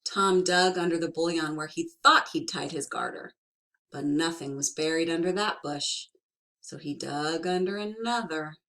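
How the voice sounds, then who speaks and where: A young woman teacher reading a storybook aloud to children, slowly and expressively. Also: low-pitched. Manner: slow and expressive, asks the listeners questions.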